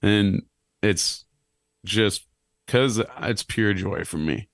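A man in his thirties with a low, slightly raspy voice, chatting casually on a podcast as a guest, rambling and self-deprecating. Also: very slow, no room sound. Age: 30s. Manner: rambling, self-deprecating, animated when joking. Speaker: clown and musician guest.

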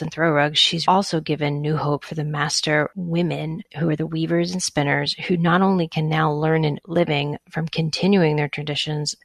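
Low, gravelly-voiced woman host. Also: no room sound. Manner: enthusiastic, gushing. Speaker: podcast host. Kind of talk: scripted intro then interview.

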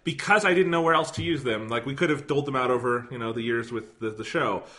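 Podcast co-host, male, a bit hoarse, bright forceful voice, rambling chatty delivery. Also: medium pitch, quick pace. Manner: rambling, self-deprecating, many fillers. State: slightly hoarse. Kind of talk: casual podcast chat.

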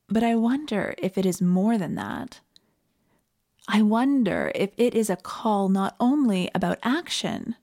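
A Canadian woman giving a reflective, scripted sermon in an earnest and measured manner. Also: mid-range voice, a little mellow. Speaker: preacher.

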